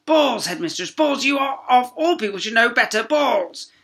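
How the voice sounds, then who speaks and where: A man voicing a furious, officious middle-aged maths teacher from a children's storybook, shouting in outrage. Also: very high voice, animated, moderate pace, pitched above the performer's own voice, full character voice. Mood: furious confiscating.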